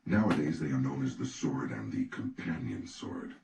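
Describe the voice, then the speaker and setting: A man reading scripted audiobook narration in a measured, instructive manner. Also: extremely low pitch, lively intonation, gravelly. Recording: echoey, distant, noisy recording.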